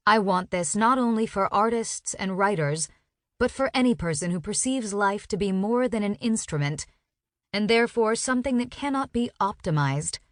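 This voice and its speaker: A woman's voice: mid-range voice, expressive, normal speed, no echo, clean studio sound. A woman narrating a nonfiction audiobook as a professional reader, with urgency and intensity.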